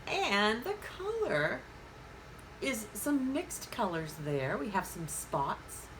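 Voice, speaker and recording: Animated woman reading to young children. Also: medium pitch, noisy background. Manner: sing-song, asks questions and pauses as if for answers. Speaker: children's story time host. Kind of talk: interactive picture-book reading for young children.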